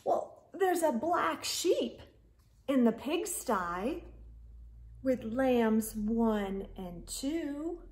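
A middle-aged woman hosts a children's storytime. Her voice is high and swings widely in pitch, with an expressive, sing-song delivery. She takes the words slowly, as if for very young listeners. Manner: expressive, sing-song delivery with animal sounds and hushed asides. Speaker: children's storytime host reading aloud. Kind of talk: picture-book read-aloud for young children. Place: living room or home library with bookshelves.

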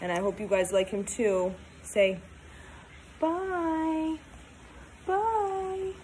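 A woman in her twenties reading a storybook aloud to young children, talking in simple, sing-song, animated phrases. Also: medium pitch, moderate pace, slight background noise. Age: 20s. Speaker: teacher reading aloud to pupils.

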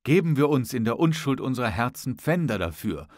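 A man reading the part of a proud, smooth-talking young gallant in a formal, insistent audiobook manner. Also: mid-range voice, big pitch swings, brisk, no room sound, clean studio sound, pitched above the performer's own voice. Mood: ardent.

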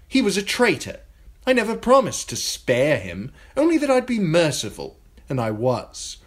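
Arrogant boy king, sneering, loud, imperious, voiced by a man. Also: fairly high pitch, huge pitch swings, normal speed, voice pushed up. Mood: cold cruelty.

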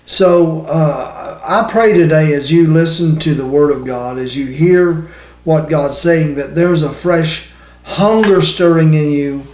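Male preacher, 60s. Unhurried, hesitant, informal delivery in a roomy space. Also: on the high side, a little mellow. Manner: halting, searching for words. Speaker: preacher, ministry co-host. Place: small indoor room. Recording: roomy, echoey indoor sound.

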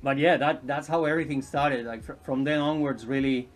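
A man in his thirties from Chile, a podcast guest chatting casually and haltingly, with many ums and restarts, recorded from a distance in a living room. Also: medium pitch, steady tone. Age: 30s. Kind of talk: casual interview chat. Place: indoors, home living room.

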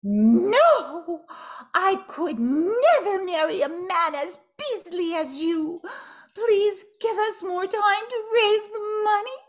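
Sweet young girl in distress, super high n drawn out, wails like old radio drama. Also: animated, exaggerated voice. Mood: pleading. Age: older. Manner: theatrical, wailing, drawn-out delivery. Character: melodramatic naive young granddaughter, sweet damsel in distress. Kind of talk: old-time radio melodrama acting. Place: at home on a group video call.

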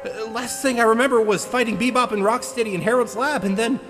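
A man's voice: very high-pitched, fairly even intonation, fast, over music. A man voicing earnest young heroes in a dramatized comic dub. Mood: bewildered. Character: cocky street vigilante Casey, and gentle bewildered young turtle.

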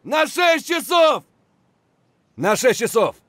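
An aggressive, coarse soldier from a military shooter game. His callouts are short and punchy, with big swings in pitch, and the recording is dry and close. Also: very animated, slow pace, a bit bright, no room sound, full character voice. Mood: urgent, aggressive. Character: aggressive, foul-mouthed Russian mercenary soldier in combat. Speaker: video game voice actor. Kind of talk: military shooter combat barks.

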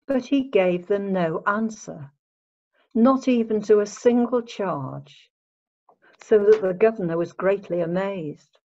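A woman narrating a Bible story in a measured, formal read-aloud manner. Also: mid-range voice, dark tone. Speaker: narrator of a church scripture reading. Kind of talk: dramatic Bible reading, scripted narration. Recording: dull lo-fi home recording.

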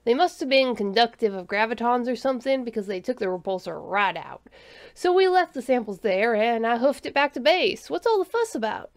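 A woman's voice: fairly high pitch, big pitch swings, brisk. A woman voicing a tired, stubborn human man explorer with a folksy, defensive manner in a storybook narration. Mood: explaining.

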